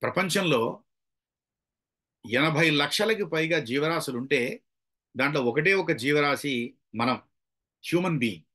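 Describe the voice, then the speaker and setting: A man's voice: fairly high pitch, expressive, a little slow, pauses often, pushed and bright. A man with a Telugu Indian accent giving a motivational public speaking lesson, demonstrating with varied emphasis and mixing in English phrases. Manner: mixes English phrases into explanations.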